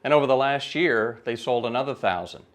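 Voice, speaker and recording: A man in his forties lays out business details in a measured, businesslike tone. He speaks to camera as the manager of a small company, steady and matter-of-fact. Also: mid-range voice. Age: 40s. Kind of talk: pitch video talk to camera.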